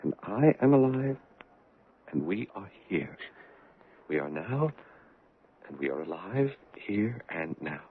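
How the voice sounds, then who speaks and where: An actor playing an anguished, insistent young fiancé in 1970s radio drama, intense and swinging from forceful to hushed. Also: male voice, fairly low pitch, sing-song, slow, pauses often. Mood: hushed, pleading. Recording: tinny, noisy 1970s radio recording.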